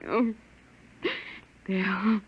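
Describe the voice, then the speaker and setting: An actress playing a frightened, anguished wife on the run, pleading breathlessly in 1950s radio melodrama. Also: female voice, mid-range voice, little pitch movement, drawn-out words, dull audio, slight background noise. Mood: shaken. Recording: vintage radio drama recording, music behind.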